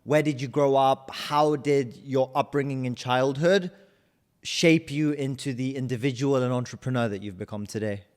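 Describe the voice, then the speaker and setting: A man's voice: medium pitch, fairly even intonation, normal speed, a little mellow. A man hosting a podcast interview, asking questions enthusiastically and often repeating words as he starts a sentence. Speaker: podcast host. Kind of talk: interview questions, casual chat. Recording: long hall reverb.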